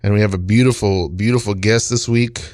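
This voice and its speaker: Low-voiced man hosting casual comedy banter. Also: fairly even intonation, fairly quick, a little mellow, no echo, studio quality. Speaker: podcast host.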